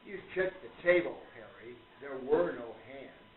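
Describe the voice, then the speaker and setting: A man playing a polite, measured gentleman who gently reproves others in a radio play. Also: medium pitch, expressive, a crowd in the background, close to an everyday voice. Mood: mild, defensive. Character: gentlemanly believer in spiritualism, courteous, gently reproving. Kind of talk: stage radio play acting. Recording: tinny, echoey, distant with audience noise.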